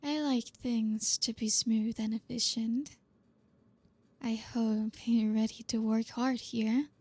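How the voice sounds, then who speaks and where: A woman's voice: on the high side, fairly even intonation, takes it slowly, darkish tone, slightly rough, no echo, heavily acted. A woman playing a flirty, teasing, dominant boss in an ASMR roleplay, speaking breathily and often slipping into a whisper. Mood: commanding, teasing.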